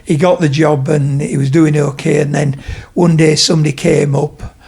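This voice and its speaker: A man's voice: a little high, flat delivery, moderate pace, creaky, darkish tone, husky. A man of about seventy from Leeds, telling rambling stories at home in a casual interview. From Leeds, England. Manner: rambling, digressive, many fillers. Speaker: podcast guest, retired tradesman. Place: his home.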